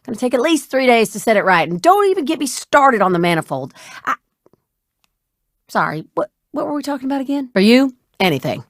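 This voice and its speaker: A woman's voice: fairly high pitch, very animated, brisk, no echo, studio quality. An actress voicing a spirited young woman ship pilot and mechanic in a video game, with casual, familiar, folksy banter. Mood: casual, chatty, then friendly, breezy. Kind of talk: video game character dialogue.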